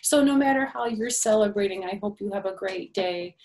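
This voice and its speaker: A woman's voice: mid-range voice, fairly quick, darkish tone, a little hiss or hum. An older woman reading a picture book aloud to young children, with simple phrasing and little questions and asides to the listeners.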